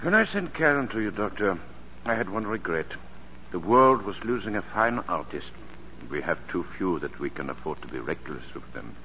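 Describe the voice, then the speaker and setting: A man's voice: low voice, big pitch swings, normal speed. An actor playing a kindly older male professor, grave and fatherly, in a 1940s radio drama. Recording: dull, noisy 1940s radio recording.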